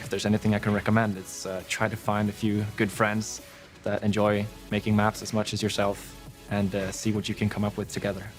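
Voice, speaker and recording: A man, map creator, speaking in a measured way in interview clips. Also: fairly low pitch, completely flat delivery, music in the background. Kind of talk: interview soundbites.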